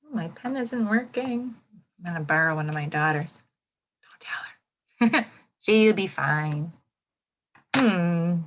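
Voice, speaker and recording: A woman's voice: fairly low pitch, lively intonation, slow, pauses often, slight background noise. A woman with an American accent reading aloud to children as a teacher, exclaiming and putting questions to her young listeners. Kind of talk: children's read-aloud lesson with commentary.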